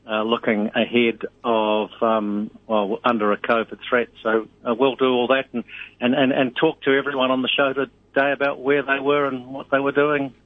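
A middle-aged man with a New Zealand accent, a radio host calling in as a guest, talking in a rambling, halting way with many fillers. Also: mid-range voice, level tone, no echo. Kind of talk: casual radio chat. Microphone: phone line. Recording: tinny phone line.

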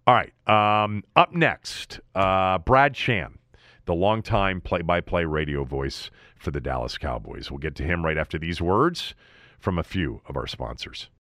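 A man hosting a sports podcast, giving news and analysis in a halting, self-correcting manner. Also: low voice, animated, a bit bright, no room sound, clean studio sound.

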